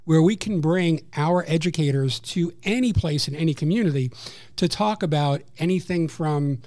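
Measured man with a New York accent. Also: a little high, a little mellow. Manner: measured, informative, some restarts. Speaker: program coordinator. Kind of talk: guest interview, explaining services.

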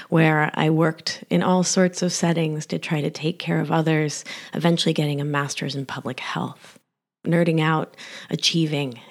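A woman in her forties, born in Argentina, telling her own life story in slow, reflective scripted narration that often trails off into soft whispers. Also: low-pitched. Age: 40s. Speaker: health practitioner.

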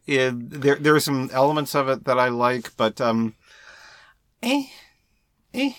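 A man in casual conversation about films, with a very lively, swinging pitch. He feels his way through opinions haltingly, restarting phrases as he goes. The sound is close and dry. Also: clean tone, no echo. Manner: halting, mulling, with repeated false starts. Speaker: podcast co-host. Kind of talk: casual film discussion.

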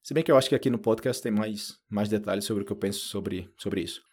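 A man from Brazil with a Brazilian Portuguese accent, hosting a casual podcast lesson. He talks in a chatty way, fills his talk with little fillers and acts out quoted people in a higher voice. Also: quick pace, a little mellow, slightly rough. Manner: lots of fillers like "tipo" and "né". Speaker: Portuguese teacher, podcast host.

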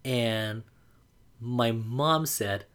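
A man, calmly telling a personal coming-out story to the camera, often pausing on "like" as he thinks. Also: medium pitch. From Burma. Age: 20s. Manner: reflective, unhurried, frequent "like" fillers.

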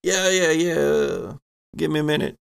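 A man plays a helpful, flustered young ensign in a sci-fi tabletop game. His pitch sits raised, and he stammers hesitantly through simple answers. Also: steady tone, a little slow, creaky, smooth. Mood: busy, mildly harried.

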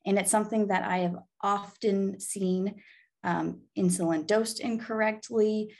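A woman's voice: mid-range voice, steady tone, a little slow, vocal fry, darkish tone. A woman in her twenties with an American accent, giving upbeat, earnest conversational answers as an interview guest. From Arkansas, United States. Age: 20s. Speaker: guest, pharmacy student and pageant titleholder.

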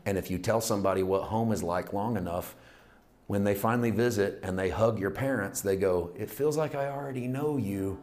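A man's voice: on the low side, fairly quick. Man preaching with emphatic repetition. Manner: emphatic, repetitive preaching cadence, swings from loud to hushed. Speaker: pastor. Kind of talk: sermon.

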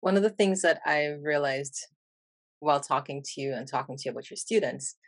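A woman with a Jamaican accent, an English teacher asking questions in a thoughtful, measured conversational interview. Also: deep voice, no echo.

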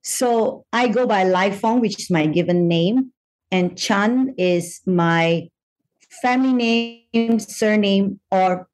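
A middle-aged woman from Malaysia, a psychiatrist answering interview questions in a measured, thoughtful way with frequent pauses. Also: medium pitch, darkish tone, poor audio. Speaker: psychiatrist guest.

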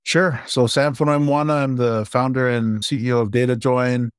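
A man in his forties from Hawaii, a startup founder chatting casually and warmly about his life as an interview guest. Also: medium pitch, steady tone, moderate pace, smooth, no room sound, clean studio sound.

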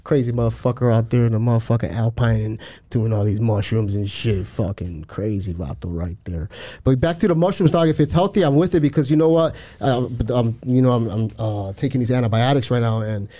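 A man's voice: medium pitch, a little fast, mellow. A man from Chicago, a guest talking casually in a streetwise way, swearing heavily and using lots of slang. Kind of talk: casual interview talk.

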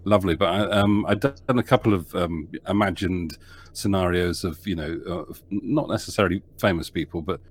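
A man's voice: sits low, normal speed, husky. A man who produces audio dramas, talking through his process in a casual podcast interview, rambling with frequent ums and restarts.

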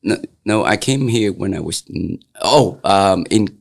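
A man in his sixties with a Vietnamese accent, his voice very low and gravelly. He talks through his life story in a casual interview, halting and circling back with plenty of fillers. The speech is loose and unhurried. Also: animated. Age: 60s. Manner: halting, many fillers and restarts. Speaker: interviewee, local business owner. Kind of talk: casual oral-history interview.

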